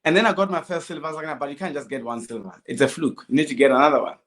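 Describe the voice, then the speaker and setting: A man's voice: on the high side, racing, a bit bright. A South African man in his thirties telling his own story casually as a guest, in short sentences with quoted dialogue. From Limpopo, South Africa. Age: 30s. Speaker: podcast guest, amateur runner.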